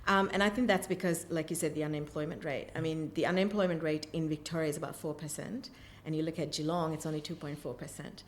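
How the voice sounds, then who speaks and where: Low-pitched woman, enthusiastic interviewee. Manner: enthusiastic, emphatic about the work. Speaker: interview guest, vocational college representative. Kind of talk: interview answers about education and business. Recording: clear background noise, room ambience.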